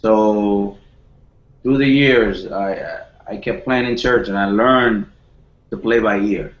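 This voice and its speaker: Low-voiced young man, echoey lo-fi call. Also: fairly even intonation. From Dominican Republic. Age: 20s. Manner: modest, halting, many restarts and fillers. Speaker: guest, home studio producer. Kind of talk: casual video call chat.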